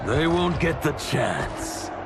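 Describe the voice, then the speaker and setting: An actor playing a menacing villain in a video game cutscene. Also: male voice, fairly high pitch, big pitch swings, takes it slowly, very noisy.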